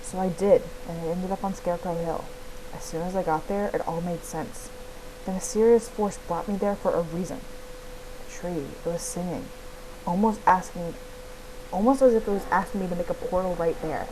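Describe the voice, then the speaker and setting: A young heroine from a fantasy riding game acted by a woman, earnest and defensive. She speaks plainly and with feeling, and there is clear noise on the recording. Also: lowish voice, moderate pace, lightly acted. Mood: earnest, explaining.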